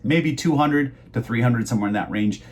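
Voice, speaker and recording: A middle-aged man casually rambling in a home vlog about his reseller sales, chatty and meandering with many fillers, his voice going hoarse. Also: medium pitch, a little fast, darkish tone, a little hiss or hum. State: losing his voice. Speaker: eBay reseller vlogger.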